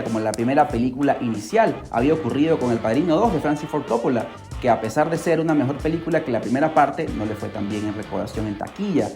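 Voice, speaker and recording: Animated man telling stories over music. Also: mid-range voice. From Peru. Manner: animated, rhetorical questions and asides to listeners. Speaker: podcast host. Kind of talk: casual storytelling podcast narration. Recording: music behind the voice.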